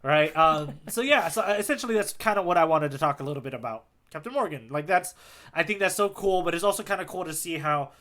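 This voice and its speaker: Bright, higher-pitched man chatting casually. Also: fast. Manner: animated, slangy, peppered with "like". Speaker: podcast co-host. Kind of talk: casual chat, manga readalong commentary.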